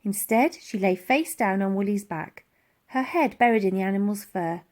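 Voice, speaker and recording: A woman narrating a children's adventure story in an animated storytelling style. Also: mid-range voice, moderate pace. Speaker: audiobook reader.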